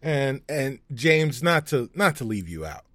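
A man's voice: medium pitch, very animated, unhurried, fairly bright tone, dry recording, studio quality. A man bantering casually as a panelist on a game show.

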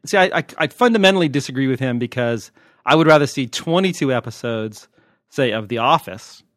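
An American man talks casually as a podcast host, with a wry and joking manner. His voice sits at a middling pitch, recorded close and dry with no room sound. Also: expressive, a little fast, a bit bright, dry recording. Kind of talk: casual, unscripted podcast chat answering listener questions.

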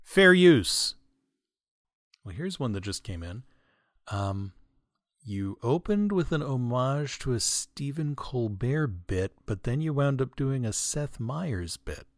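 A man's voice: medium pitch, big pitch swings, slow, no echo, clean studio sound. A man hosting a podcast, reading scripted comic corrections and listener mail with asides in a tongue-in-cheek, mock-earnest way.

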